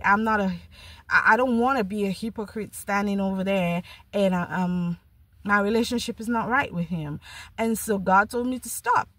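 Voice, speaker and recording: A woman's voice: mid-range voice, fairly bright tone, no room sound. A woman hosting a podcast, sharing a personal spiritual testimony in an earnest, reflective way, often repeating words as she starts a thought. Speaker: podcast host.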